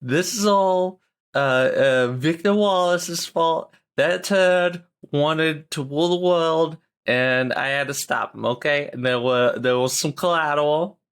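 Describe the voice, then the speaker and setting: A tabletop player voicing an excitable young monster hunter, flustered and blustering, repeating protests. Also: medium pitch, unhurried, clean tone, natural voice. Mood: indignant.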